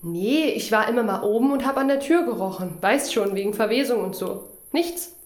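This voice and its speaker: Actress as a dry unflappable younger woman in a radio crime play, bit high voice, echoey room. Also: expressive, normal speed, lightly acted. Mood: subdued. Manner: dry, matter-of-fact, evasive.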